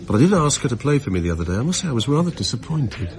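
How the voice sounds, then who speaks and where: A man's voice: mid-range voice, very animated, rapid-fire, a little mellow. An actor playing a sly, condescending male schemer in a radio drama, casual and manipulative in manner. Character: smooth, condescending male schemer, slyly persuasive.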